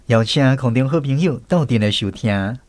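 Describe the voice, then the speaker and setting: A man's voice: mid-range voice, expressive, normal speed, darkish tone, dry recording. A man with a Taiwanese accent, a radio host and storyteller reading scripted narration.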